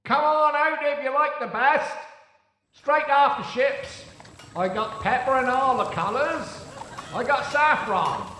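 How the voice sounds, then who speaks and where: An actor playing a brisk medieval spice seller in an audio drama, hawking wares with chummy patter. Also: male voice, very high voice, lively intonation, pushed and bright, heavily acted. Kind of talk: audio drama acting, street market calling. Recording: echoey, noisy street scene with clopping hooves.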